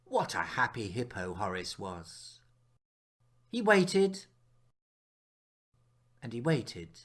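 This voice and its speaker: A man reads a children's story aloud at a slow, unhurried pace. His pitch moves up and down in a lively way, and he uses dramatic pauses and drops into hushed moments as a storyteller. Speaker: author reading his picture book aloud.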